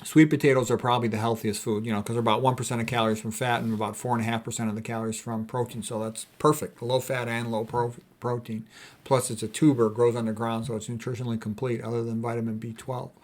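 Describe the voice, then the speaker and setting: A man's voice: mid-range voice, level tone, fast talker. A man reads quotes aloud and comments on them informally as a health educator, in a folksy, emphatic way that repeats key statements.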